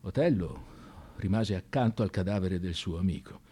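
A man narrating a dramatic scripted story in an expressive, theatrical radio-theatre manner. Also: low voice, normal speed, husky.

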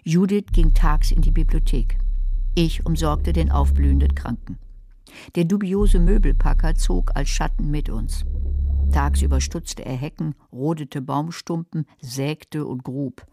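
A woman's voice: low voice, measured pace, mellow. An actress playing a blunt, sarcastic woman who narrates and looks after a sick man in a radio drama. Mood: narrating. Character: sharp-tongued woman caring for a sick man. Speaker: narrator and main character Carla.

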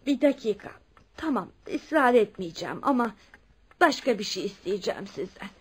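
A husky, kindly older lady. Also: medium pitch, expressive, moderate pace. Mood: gentle. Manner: motherly, addresses others as her children. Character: kindly, insistent older well-off lady living alone. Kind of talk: Turkish radio drama acting.

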